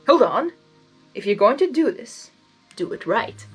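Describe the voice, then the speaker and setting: A woman's voice: on the high side, very animated, a little slow, slightly rough, soft background music, exaggerated voice. A woman voicing a nervous, lovestruck, self-doubting male creature in a theatrical story reading, talking to himself in exclamations. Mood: anxious determination. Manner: exclamatory, self-coaching pep talk.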